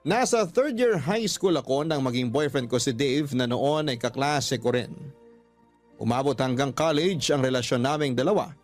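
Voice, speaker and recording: A man's voice: medium pitch, normal speed, faint music behind. A man with a Filipino accent hosting a radio drama, reading listeners' letters aloud as dramatic narration.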